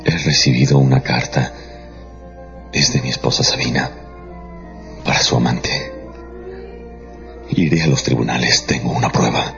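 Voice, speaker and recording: Very deep-voiced, jealous count. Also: male voice, steady tone, a little slow, vocal fry, pauses often, exaggerated voice. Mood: bitter, resolved. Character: possessive, jealous married count, besotted with his mistress. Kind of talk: radio drama acting. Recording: dull radio production with music underneath.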